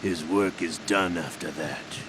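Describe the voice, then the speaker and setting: An actor playing a composed older villainous intelligence officer in a video game, gravelly, measured and deliberate in speech. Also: male voice, deep voice. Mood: measured, businesslike. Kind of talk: video game cutscene acting. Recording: noisy vehicle-like ambience behind.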